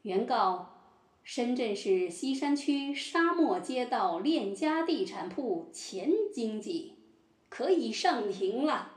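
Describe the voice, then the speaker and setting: A woman's voice: fairly high pitch, expressive, slow pace. A woman playing a formal, solemn court announcer in a scripted audio drama. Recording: strong room echo.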